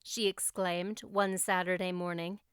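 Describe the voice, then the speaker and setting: A woman reading an audiobook as narrator, with measured, expressive storybook delivery. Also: lowish voice, clean tone, dry recording, clean studio sound.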